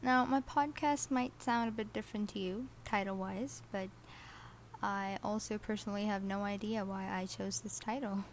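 A woman's voice: mid-range voice, fairly even intonation, moderate pace, a little hiss or hum. Chatty teenage girl hosting a podcast. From Saudi Arabia. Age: teens. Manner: chatty, self-deprecating, a little nervous. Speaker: podcast host. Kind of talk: casual personal podcast monologue.

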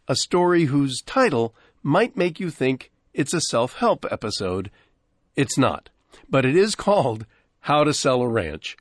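A man's voice: medium pitch, no room sound. An American man hosting a scripted vintage radio programme on a close studio microphone. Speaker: radio show host.

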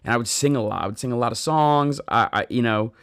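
Earnest man in his thirties, gravelly voice. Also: mid-range voice, quick pace, a bit bright, no room sound, studio quality. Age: 30s. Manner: earnest, conversational, with personal stories; addresses listeners directly. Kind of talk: devotional podcast talk on scripture.

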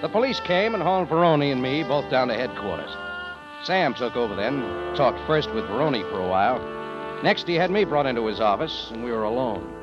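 A man's voice: mid-range voice, lively intonation, brisk, lightly acted. A man acting a wry, tough American adventurer in a hard-boiled 1950s radio detective drama. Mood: wry narration. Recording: muffled old radio, music behind.

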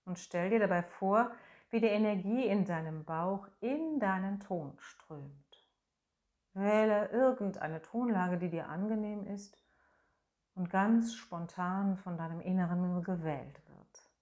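A woman leading a guided voice and breathing meditation in a slow, soft, soothing way, humming tones to demonstrate. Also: medium pitch, dull audio. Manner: slow, soft, soothing instructions with long pauses; hums and sings sustained tones as demonstration.

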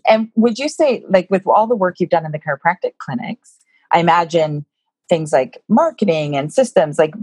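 A woman's voice: fairly low pitch, darkish tone, breathy edge, dry recording, rough recording. A woman hosting a podcast interview over a video call, asking questions and encouraging her guest. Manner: encouraging, lots of backchannel and laughter. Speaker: podcast host.

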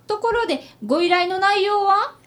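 A woman's voice: very high voice, moderate pace, a bit bright. A woman voicing a polite, earnest fifth-grade boy detective apprentice in a radio drama. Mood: attentive, polite. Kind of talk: radio drama acting with first-person narration.

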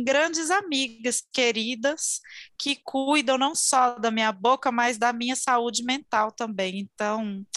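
Woman, podcast host, chatty, fairly high bright voice. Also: dry recording, clean studio sound. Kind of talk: casual chat.

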